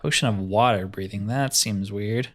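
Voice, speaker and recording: A man's voice: fairly low pitch, moderate pace. Man thinking aloud over faint music. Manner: thinks aloud, wry grumbling. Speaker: gaming video host. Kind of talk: casual let's play commentary.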